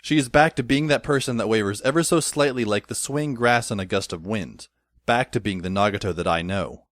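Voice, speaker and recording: A man playing a wry, introspective schoolboy who narrates an audio drama in a dry, measured, literary way. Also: on the low side, a bit bright, no room sound, natural voice. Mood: calm, reflective. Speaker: narrator and lead character. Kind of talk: first-person scripted audio drama narration.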